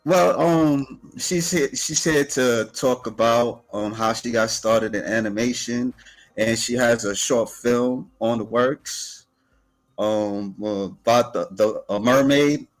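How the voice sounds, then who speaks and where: Slow, halting man hosting. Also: mid-range voice. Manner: halting and hesitant, with many fillers and restarts. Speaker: podcast host. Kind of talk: casual interview hosting.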